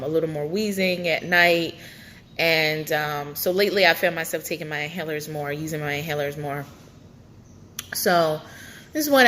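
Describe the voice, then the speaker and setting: A woman's voice: low-pitched, fairly bright tone, slight background noise. A young woman vlogging a casual pregnancy update, chatty and rambling with many fillers, her nose stuffed up.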